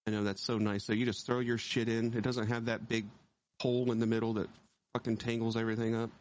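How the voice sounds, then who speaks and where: Teasing, foul-mouthed podcast host. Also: male voice, mid-range voice, fast. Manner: animated storyteller, teasing, heavy swearing. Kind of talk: casual chat.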